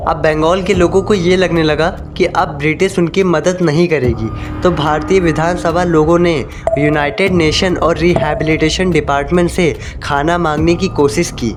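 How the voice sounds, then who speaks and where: A man's voice: on the high side, steady tone, moderate pace, few pauses, music in the background. A man narrating a scripted history explainer, addressing viewers directly in an explanatory way.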